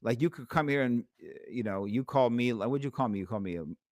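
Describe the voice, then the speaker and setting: A man's voice: medium pitch, animated, quick pace, no echo, studio quality. An American man in his forties talking casually in a debate, blunt and rambling, with plenty of swearing. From United States. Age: 40s. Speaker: podcast host.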